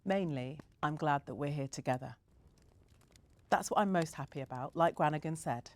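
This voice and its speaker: A shy, thoughtful young girl who speaks quietly, as a woman reads her lines in a storybook. Low-pitched for a girl's part. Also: moderate pace, natural voice. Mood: gentle.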